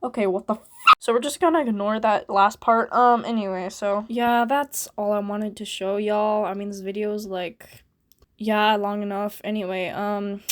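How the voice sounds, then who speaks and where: A woman's voice: mid-range voice, steady tone, moderate pace, a little hiss or hum. A woman voice actor chatting casually in a vlog, talking with plenty of fillers and a playful tone. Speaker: voice actor and video creator.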